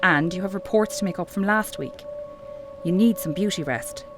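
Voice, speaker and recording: A woman playing a dedicated archeology professor in an audio horror drama, speaking in an articulate, measured, scholarly manner. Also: lowish voice, lightly acted. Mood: reassuring, brisk. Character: dedicated female archeology professor, affectionately commanding, increasingly entranced. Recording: music bed behind the voice.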